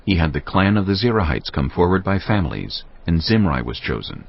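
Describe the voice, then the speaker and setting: Very deep, gravelly male narrator. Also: big pitch swings, highs cut off. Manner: measured, dramatic storytelling. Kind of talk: scripted dramatized Bible narration.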